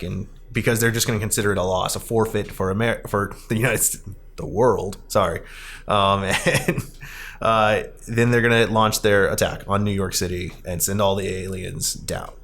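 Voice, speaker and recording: A man hosting a casual movie discussion podcast, talking in an animated, emphatic, rambling way. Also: fairly low pitch, normal speed. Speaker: podcast host.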